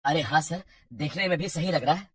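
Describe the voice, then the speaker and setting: Woman as a polite, husky-voiced young man. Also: low-pitched, fairly quick, pitched below the performer's own voice. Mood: agreeable. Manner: deferential, hesitant. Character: polite, anxious young working man seeking a flat. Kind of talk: animated horror story dialogue.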